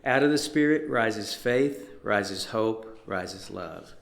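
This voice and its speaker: Low-voiced man reading in an echoey room. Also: expressive, slow pace, creaky. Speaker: worship reader lighting the chalice. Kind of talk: liturgical reading. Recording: echoey, roomy sound.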